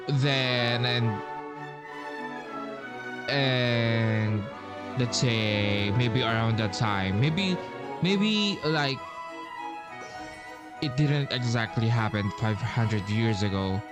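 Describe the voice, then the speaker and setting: A man giving casual gaming commentary, hesitant and muttering, with some swearing. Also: mid-range voice. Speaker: game streamer. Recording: game music behind voice. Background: game music.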